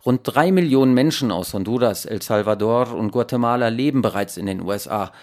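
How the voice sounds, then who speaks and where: A male radio reporter narrates a scripted news feature. His voice sits at a medium pitch, and he reads in a measured, emphatic manner, as in a prepared report.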